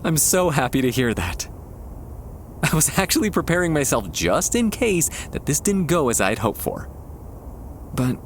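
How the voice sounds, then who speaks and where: A man playing a shy, tender young man confessing love to a childhood friend, hesitant and soft-hearted, in an intimate ASMR roleplay. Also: on the high side, big pitch swings, a little hiss or hum. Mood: relieved, happy. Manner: soft-hearted, hesitant, halting with nervous chuckles. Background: quiet park ambience.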